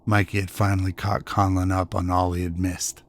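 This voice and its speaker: A man narrating a sci-fi radio drama as a measured storyteller, in scripted prose. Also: low voice, completely flat delivery, natural voice.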